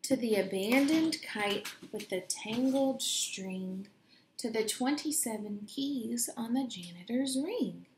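Young woman doing a kids read aloud, higher voice, expressive storyteller, roomy home recording. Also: a little hiss or hum. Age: young adult. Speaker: picture book reader. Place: indoors in a room at home.

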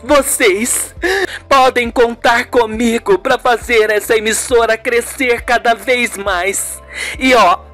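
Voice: mid-range voice, steady tone, takes it slowly, a bit bright, faint music behind, voice pushed up. A performer voicing a tense, emotional, effusive woman TV presenter in a radio soap. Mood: tearful gratitude. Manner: pleading, effusive.

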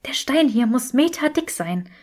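A woman's voice: high voice, lively intonation, moderate pace, darkish tone, voice pushed up. A woman voicing an anxious, clever young witch in an audiobook, urgent and rambling. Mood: strained.

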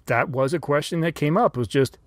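A man's voice: on the high side, fairly quick, slight background noise. A man hosting a podcast, plainly narrating between convention clips. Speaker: podcast host. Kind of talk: scripted narration linking clips.

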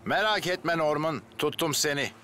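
A man's voice: on the high side, moderate pace, heavy background noise, lightly acted. A man voicing a brave, reassuring firefighter in charge, in a children's cartoon dub. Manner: commanding, reassuring.

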